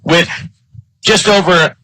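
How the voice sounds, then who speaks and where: A man's voice: high-pitched, steady tone, slow, pushed and bright, no echo. A man with an American accent doing live play-by-play commentary of an American football game. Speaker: play-by-play sports announcer.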